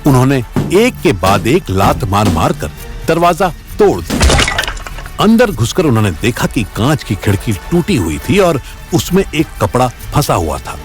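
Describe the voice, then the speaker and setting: A man narrates an audio story with drama and wide swings of intonation. His pitch sits in the middle, and soft music runs underneath. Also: big pitch swings, unhurried. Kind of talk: dramatic scripted narration in Hindi. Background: faint background music.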